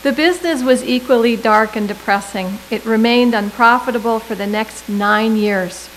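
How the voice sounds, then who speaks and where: A middle-aged woman delivering a prepared commencement speech at a podium microphone, with measured delivery and deliberate emphasis. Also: fairly high pitch, slight background noise, poor audio. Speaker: commencement speaker.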